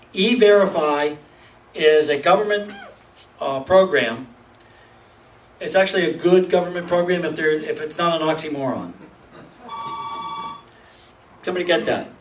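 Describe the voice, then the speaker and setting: A man's voice: high-pitched, far from the mic, slight background noise. Man speaking in an echoey room. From Pennsylvania, United States. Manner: restarts and hesitant fillers, emphatic lists. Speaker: speaker at a group meeting. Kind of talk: informal public talk.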